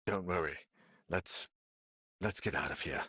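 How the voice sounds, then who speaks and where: Casting: grim, dread-filled game protagonist, deep voice, slow halting delivery, worn down by horror. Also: male voice, slightly rough, no room sound, clean studio sound, full character voice. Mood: drained, subdued. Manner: grim, halting, swearing under stress. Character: haunted, weary man searching an evil house, dread-filled. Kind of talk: dramatic video game voice acting. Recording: loud music behind the voice.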